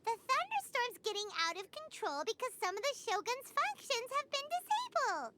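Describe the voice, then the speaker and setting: A woman voicing a tiny excitable female companion sprite in a video game cutscene, with a squeaky, childlike voice and an eager, chatty delivery. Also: moderate pace, a little hiss or hum, full character voice. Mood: chirpy.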